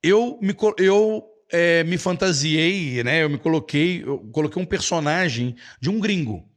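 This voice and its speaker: A man's voice: a little high, lively intonation. Chatty Brazilian man teaching English. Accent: Brazilian Portuguese. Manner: chatty, with many restarts and quick asides. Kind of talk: casual live lesson answering comments.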